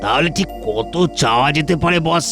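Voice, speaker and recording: A man voices an eager, fawning cartoon henchman. His voice is gravelly and a bit high, bragging and wisecracking over background music. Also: expressive, normal speed. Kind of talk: comic cartoon dialogue.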